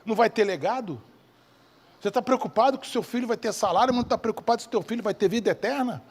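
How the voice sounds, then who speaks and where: A man with a Rio de Janeiro Brazilian accent and a husky voice preaches an evangelical sermon emphatically, repeating key phrases and asking rhetorical questions. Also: high-pitched, fast talker. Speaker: preacher, bishop. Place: church service in a large hall. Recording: reverberant hall sound.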